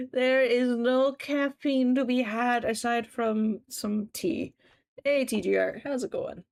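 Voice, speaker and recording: A woman streaming a game, chatting casually with her viewers and laughing often, her voice rough and tired from just waking up. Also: a little high, fairly even intonation, slow, long pauses, a little mellow. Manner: chatty, giggly, talks to chat while playing. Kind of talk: casual gaming livestream chat.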